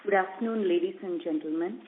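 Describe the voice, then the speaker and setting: A woman's voice: on the low side, fairly quick, noisy background. A woman gives a formal, scripted business presentation as a company executive. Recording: tinny, narrow phone line.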